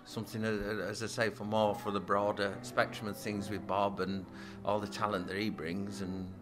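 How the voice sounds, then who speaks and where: A man, a musician and studio owner, answering interview questions in a halting way, restarting his sentences often. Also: on the low side, music in the background. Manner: halting, stumbling restarts, flat delivery.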